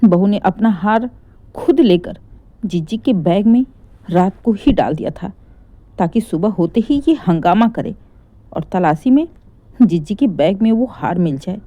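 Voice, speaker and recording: Female narrator as middle-aged mother-in-law, storybook drama, indignant, scolding, very mellow tone, dry close sound. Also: mid-range voice, animated, a little slow, no room sound, muffled recording. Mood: explaining, indignant. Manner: scolding, pointed rhetorical questions.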